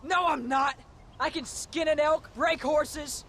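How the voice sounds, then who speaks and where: A man's voice: extremely high pitch, fairly even intonation, slow pace, pushed and bright, a little hiss or hum, full character voice. An actor playing an eager, headstrong young American boy in a western video game. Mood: distressed, defiant. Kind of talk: cinematic video game acting.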